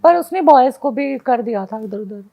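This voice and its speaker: A young woman with a high voice tells a first-person story in a casual, conversational way. She slips English words into her sentences. The recording is dull and lo-fi. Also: expressive, normal speed, gravelly. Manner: mixes Hindi with English words. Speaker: guest.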